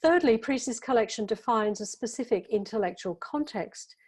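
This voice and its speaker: A woman giving a conference paper, reading her prepared text in a measured, careful way with the odd filler. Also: on the high side, lively intonation, a little mellow, husky. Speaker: academic conference speaker.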